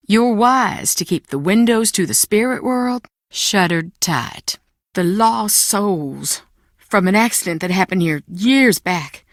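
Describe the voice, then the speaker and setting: An actress playing a proud, weary, sharp-tongued middle-aged Southern mother in a video game, with a Southern US accent and a blunt, folksy manner. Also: mid-range voice, no echo, studio quality.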